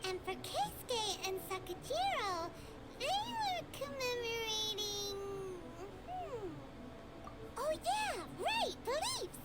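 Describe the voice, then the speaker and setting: A woman voicing a tiny, cute, curious fairy companion with a squeaky childlike voice in a video game. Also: very animated, takes it slowly, exaggerated voice. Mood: thoughtful, chirpy. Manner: refers to self by name, inquisitive. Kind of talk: anime-style video game voice acting. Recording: loud music behind the voice.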